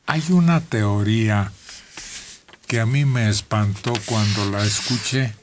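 An older man from Mexico reading news notes slowly at a radio studio table. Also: fairly low pitch, noisy background. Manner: slow, deliberate reading from paper. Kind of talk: reading news items on air. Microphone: desk microphone.

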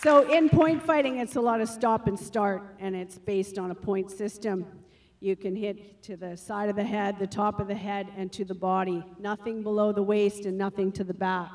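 A woman's voice: medium pitch, fairly even intonation, normal speed, hardly any pauses. A middle-aged woman, a martial arts instructor, speaking into a handheld microphone to an audience as she presents a live demonstration on a theatre stage. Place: large theatre stage, indoors.

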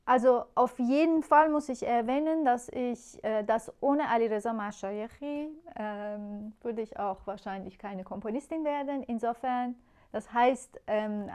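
Composer from Iran, forties, interview; slow-paced, hesitant, thoughtful delivery. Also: female voice, on the high side, darkish tone, smooth. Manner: unhurried, thoughtful, many hesitation fillers. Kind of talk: interview answers. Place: bright living room, indoors.

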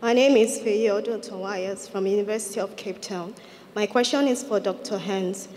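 A woman's voice: mid-range voice, fairly even intonation, normal speed, darkish tone, slight background noise. A woman asks a direct question from the audience at a conference, into a handheld mic. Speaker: audience member asking a question. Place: large conference hall. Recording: reverberant conference hall.

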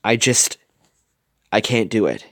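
A man's voice: lowish voice, big pitch swings, takes it slowly, a bit bright, dry recording, clean studio sound, full character voice. A man voicing a blunt, guarded young woman in a dramatic story, curt and defensive. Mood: hesitant, sorry.

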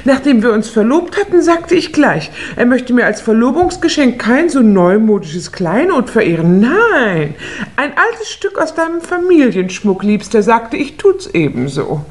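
A woman's voice: on the high side, big pitch swings, unhurried. An actress playing a chatty, affected and tactless society lady in a 1950s German radio play. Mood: gushing, affected. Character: gushing, tactless society lady, engaged to lord.

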